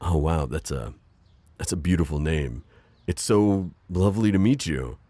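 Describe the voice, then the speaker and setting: A man voicing a gentle, self-deprecating divorced construction-worker dad in an ASMR roleplay, hesitant and stammering with soft jokes. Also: low-pitched, animated, moderate pace, no echo. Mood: shy, flirty. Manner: hesitant, full of ums and stammers, joking softly. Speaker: voice actor.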